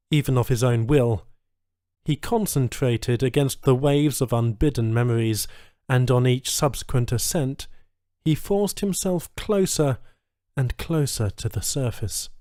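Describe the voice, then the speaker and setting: A male narrator reads science fiction with slow, deliberate pacing. His pitch is slightly low and his delivery is measured, dramatic and suspenseful. The recording is dry and close, with no room echo. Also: a little mellow. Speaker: audiobook narrator.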